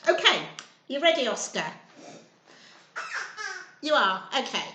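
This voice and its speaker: A woman's voice: a little high, very animated, takes it slowly, background noise. A woman hosting children's storytime, talking simply and encouragingly to small children in a sing-song way. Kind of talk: children's storytime reading and chat. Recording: roomy, echoey indoor sound.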